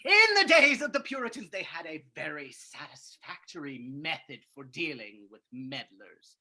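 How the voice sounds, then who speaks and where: A woman's voice: sits low, very animated, pushed and bright, heavily acted. A woman playing a vengeful, murderous male villain in a theatrical, menacing old-time radio drama reading. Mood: gloating menace. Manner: theatrical, menacing, drawn-out delivery.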